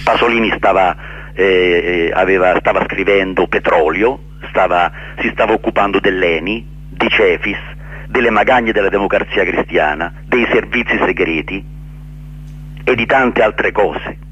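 Low-voiced man, dull noisy recording. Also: fairly bright tone. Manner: earnest, halting, emphatic repetitions. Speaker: interview guest, actor and director. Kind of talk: radio interview answers, explaining his play.

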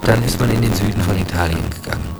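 A man giving a plain, unhurried spoken recollection as a witness. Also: lowish voice, rough recording. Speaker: witness recalling camp life. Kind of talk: recorded testimony. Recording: loud music underneath.

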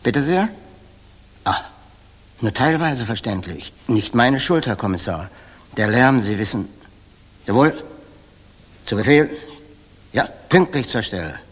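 An actor playing an obsequious, furtive waiter who informs for the police, in a 1960s German radio play, with a husky, clipped delivery. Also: male voice, medium pitch, animated, a little slow, pauses often. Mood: furtive, dutiful. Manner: servile, clipped, deferential to superiors. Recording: reverberant 1960s mono radio recording.

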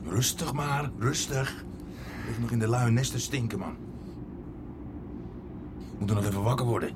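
Actor as a scornful, assured crew leader. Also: male voice, medium pitch, moderate pace, background noise, lightly acted. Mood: calming, scornful. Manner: terse, dismissive. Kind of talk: naturalistic radio drama acting.

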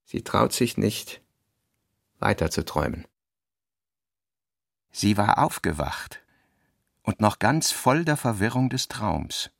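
Low male narrator for a literary radio play, really dry close studio sound. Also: sing-song, slow, gravelly, pauses often, no echo.

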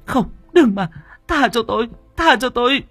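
A man's voice: very high-pitched, animated, normal speed, a bit bright, husky, no room sound, soft background music, voice pushed up, exaggerated voice. A man voicing a frightened, delirious young woman in an audio story, pleading and repeating phrases. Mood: terrified pleading. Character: terrified, delirious young woman begging for mercy.

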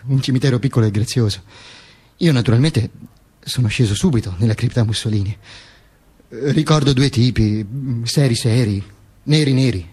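A man telling a theatrical monologue as an actor, halting, repeating words and stressing them emphatically. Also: medium pitch, a little mellow, breathy edge.

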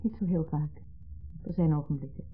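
A woman's voice: low voice, normal speed, close to an everyday voice. An actress playing a guarded, nostalgic married woman in a 1970s Dutch radio play, giving short, understated replies. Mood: guarded, hesitant. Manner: reserved, brief, understated replies. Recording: muffled, lo-fi 1970s radio recording.

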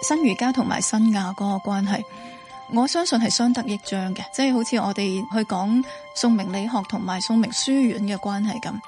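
A woman's voice: mid-range voice, brisk, music in the background. Thoughtful woman explaining in an interview. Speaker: interviewee, commentator.

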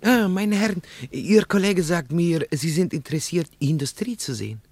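An actor playing a nervous, eager young Russian interpreter in a radio play, speaking formal German earnestly. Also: male voice, a little high, animated, moderate pace, a little mellow, no room sound. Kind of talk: 1950s radio play acting.

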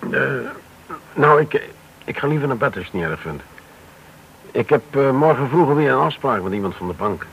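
A man's voice: mid-range voice, expressive, normal speed, pauses often, highs cut off, slight background noise, close to an everyday voice. A man acting in a radio play as a guarded, polite businessman who hesitates and asks probing questions. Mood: friendly, reticent.

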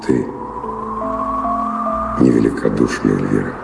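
A weary, dreamy middle-aged wanderer in an audio drama, with a very deep, gravel-edged voice. He speaks evasively and reflectively, with measured pauses, in a space with long reverb. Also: fairly even intonation, heavily acted. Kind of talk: theatrical audio drama dialogue. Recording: reverberant stage-like sound, loud music behind.

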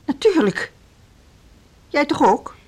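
A woman's voice: high voice, big pitch swings, slow, a little mellow, exaggerated voice. An actress playing an indignant, stubborn woman who leads a music school in a Dutch radio play. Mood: stubborn, suspicious.

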